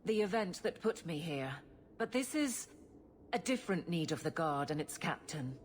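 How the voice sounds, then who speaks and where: A woman playing a stern, guarded captain of the city guard in a video game, speaking briskly and formally. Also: lowish voice, animated, slight background noise, close to an everyday voice. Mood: guarded, uneasy.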